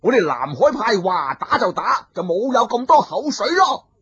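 A man's voice: high-pitched, big pitch swings, moderate pace, voice pushed up, exaggerated voice. A man voicing a hot-tempered brutish male martial-arts villain who blusters and hurls insults. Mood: impatient. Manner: gruff, blustering, shouting insults. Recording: dull lo-fi recording.

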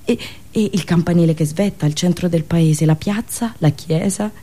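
A woman storyteller with a fairly low voice and a rural central Italian accent. She performs a theatrical monologue expressively, leaning on pauses and repeated words. Her phrasing drifts into country dialect. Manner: expressive, dramatic pauses, repetitions and onomatopoeic asides; slips into rustic dialect. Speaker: storyteller and radio show host.

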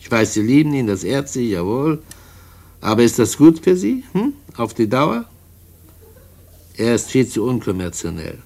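An actor in a radio drama playing a sly, sinister drug dealer and blackmailer, mockingly polite, smug and cajoling. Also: male voice, mid-range voice, very animated, normal speed, vocal fry, pauses often, warm tone. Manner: smug, cajoling, mock-courteous, chuckling. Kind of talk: mid-century German radio drama acting.

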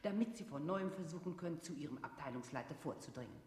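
An actress in a radio play voicing a female instructor who coolly guides a timid employee with measured imperatives. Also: sits low, expressive, a little mellow, husky, exaggerated voice. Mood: procedural. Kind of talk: scripted radio play narration.

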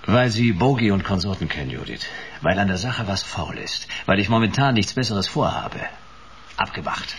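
Very deep-voiced, wry private detective. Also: male voice, animated, moderate pace, a bit bright, slight background noise. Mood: probing, laconic. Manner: laconic, sardonic. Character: wry, world-weary hard-boiled male private detective. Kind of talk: noir radio play with first-person narration.

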